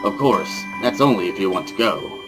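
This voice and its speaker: A man acting a gentle, persuasive father who speaks in a coaxing way in a visual novel reading. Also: fairly low pitch, lively intonation, normal speed, over music, lightly acted.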